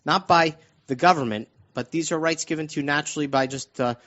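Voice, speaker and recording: A man hosting informal talk radio in a halting way that circles back over words, on dull, lo-fi audio. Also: a little high, a bit bright. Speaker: radio show host.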